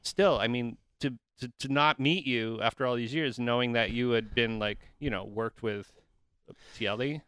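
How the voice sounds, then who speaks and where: A man's voice: medium pitch, normal speed, fairly bright tone, clear, resonant tone, no echo. A middle-aged man from England hosting a podcast, chatting casually with many fillers. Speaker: podcast host.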